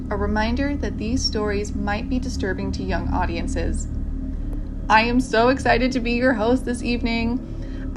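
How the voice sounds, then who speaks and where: A woman hosting a show, giving a scripted introduction. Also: a little high. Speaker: host.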